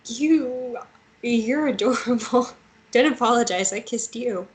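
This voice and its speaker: A woman's voice: fairly high pitch, takes it slowly. An actress playing a bold, teasing, injured young woman in a romance table read, with a naturalistic, playful delivery. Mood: teasing, tender.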